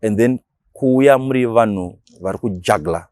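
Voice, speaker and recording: A man's voice: on the low side, moderate pace, darkish tone, no echo, studio quality. A man with a Zimbabwean accent, talking casually as a radio DJ being interviewed, mixing languages and repeating words for emphasis.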